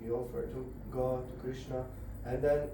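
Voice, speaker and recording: A man giving a devotional scripture lecture to a group indoors, halting and searching for words as he explains. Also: medium pitch, flat delivery, vocal fry, background noise. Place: indoor gathering room. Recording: very echoey room, voice far off.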